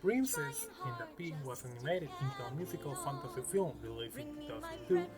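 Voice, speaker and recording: A man presenting scripted narration in a halting way, sometimes stumbling over words. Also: mid-range voice, very animated, over loud music.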